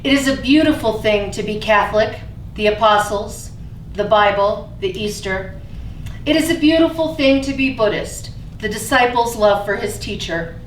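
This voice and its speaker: A woman's voice: on the high side, normal speed. A woman hosting and reading aloud at a staged reading. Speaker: host introducing and reading a poem. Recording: echoey room, distant mic, faint noise.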